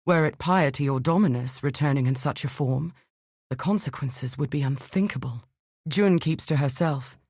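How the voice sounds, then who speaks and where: A woman's voice: low-pitched, darkish tone, dry recording, clean studio sound. A woman playing an earnest, analytical female archaeologist and scientist in a video game, speaking precisely and formally. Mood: grave, thoughtful, then concerned. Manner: precise, formal, articulate, thoughtful. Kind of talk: scripted video game dialogue.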